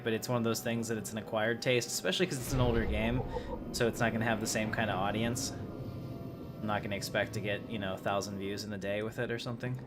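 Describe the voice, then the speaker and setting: A man hosting a game livestream, chatting casually as he plays. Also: medium pitch. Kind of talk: casual chat over gameplay. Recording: clearly noisy livestream recording.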